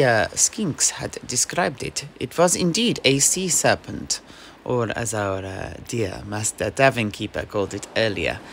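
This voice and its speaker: A man playing a mercenary knight, telling a sea adventure in a halting, theatrical way for a fantasy audio play. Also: mid-range voice, creaky, fairly bright tone, slight background noise, heavily acted.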